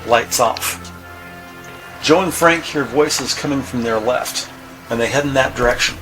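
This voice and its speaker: A man reading scripted audio drama narration in a measured, descriptive storytelling manner. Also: medium pitch. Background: music.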